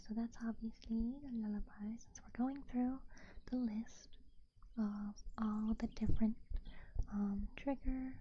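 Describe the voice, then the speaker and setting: A woman ASMR streamer chatting with viewers in a soft, gentle whisper, sounding sleepy. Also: on the high side, lo-fi sound, a little hiss or hum.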